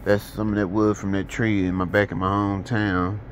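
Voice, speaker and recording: A man giving a relaxed, rambling tour of his carport woodshop, filmed on a handheld phone as he walks. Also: fairly low pitch, normal speed, slight background noise. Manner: relaxed, rambling, informal Southern grammar. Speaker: woodworker giving a shop tour. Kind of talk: casual walkthrough vlog. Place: carport workshop, partly outdoors. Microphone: handheld phone filming as he walks.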